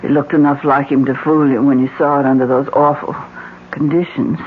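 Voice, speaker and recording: An anxious American woman in a vintage radio thriller. She speaks in a dramatic, urgent way. The sound is very narrow and tinny, with clear noise over it. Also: rumbling low, little pitch movement, brisk. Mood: shaken, earnest. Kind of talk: old-time radio mystery drama acting.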